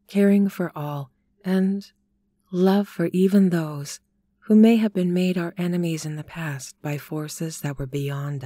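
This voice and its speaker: A woman narrating a listener's written story in a calm, slow, measured reading voice. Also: low voice, no echo.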